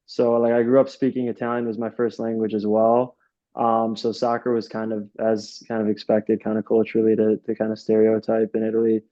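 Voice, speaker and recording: Low flat-voiced young man rambling. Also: highs cut off. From Rochester, New York. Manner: rambling, many restarts and fillers. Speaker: interview guest, soccer coach. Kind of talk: casual interview answers.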